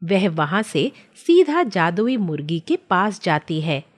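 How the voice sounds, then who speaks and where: Slow, expressive woman storyteller. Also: on the low side. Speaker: narrator. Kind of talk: scripted children's story narration.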